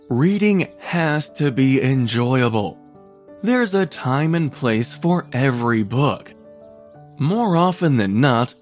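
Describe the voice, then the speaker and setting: A man reading a written article aloud as scripted narration, in measured, separate phrases. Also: medium pitch.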